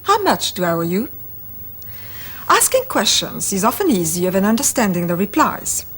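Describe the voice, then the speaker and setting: Slow, breathy woman presenter. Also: mid-range voice, big pitch swings, fairly bright tone. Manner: clear, deliberate model pronunciation. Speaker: co-presenter of a language course. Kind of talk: slow scripted teaching narration.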